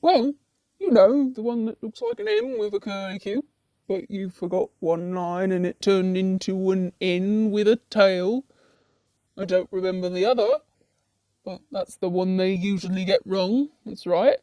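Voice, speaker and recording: This is a woman's take on an ordinary demon who is thoroughly at ease in hell. The voice is dark and relaxed, recorded very dry. The talk wanders casually in colloquial turns, with a mild teasing edge, as in a comic puppet show. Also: medium pitch, unhurried, no echo, close to an everyday voice. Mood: casually amused. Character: laid-back, chatty, ordinary demon lounging in hell.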